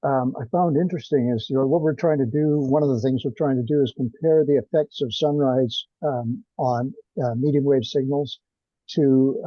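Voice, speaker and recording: A man giving an informal technical presentation on a conference call, with hesitant, halting delivery. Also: mid-range voice, dry recording. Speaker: presenter of research findings. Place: teleconference. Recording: dull, dry, band-limited conference call.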